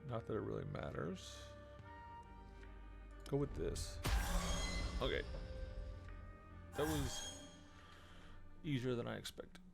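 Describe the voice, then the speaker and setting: Loud game music plays behind a man in his thirties with a creaky, gravelly voice. He streams a video game casually, muttering his thoughts aloud in short fragments at a middling pitch. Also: animated, slow pace, pauses often. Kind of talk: casual let's play commentary. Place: small indoor room at home.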